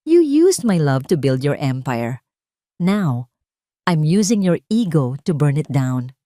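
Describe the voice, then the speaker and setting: A woman voicing a calm, steely betrayed wife with a composed, cutting manner in an audio story. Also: sits low, slow pace, no room sound, studio quality, heavily acted. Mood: cold triumph.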